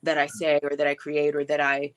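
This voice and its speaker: A woman's voice: low voice, monotone, fairly quick, clear, resonant tone. A young woman from Hawaii, a filmmaker and actress, telling her story on a video call in a reflective, casual way, full of "like" and "you know". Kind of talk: casual interview.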